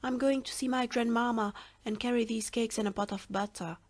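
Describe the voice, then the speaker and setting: A woman voicing an innocent, trusting little girl in a storybook reading, with a bright, wide-eyed questioning manner. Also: a little high, steady tone, fairly quick, voice pushed up, close to an everyday voice. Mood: polite.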